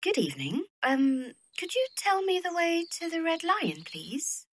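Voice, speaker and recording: A woman's voice: very high-pitched, huge pitch swings, measured pace, slight background noise, full character voice. A woman playing a polite, genteel young widow in an audio drama. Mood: polite, flustered.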